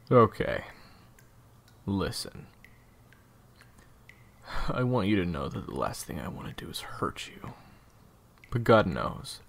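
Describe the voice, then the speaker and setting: Man playing a gravelly, very deep-voiced menacing assassin. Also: a little hiss or hum, heavily acted. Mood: cold menace. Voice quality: husky, gravelly. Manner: clipped, threatening, deliberate. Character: menacing male assassin interrogator, cold, controlled, short-tempered. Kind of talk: audio roleplay acting.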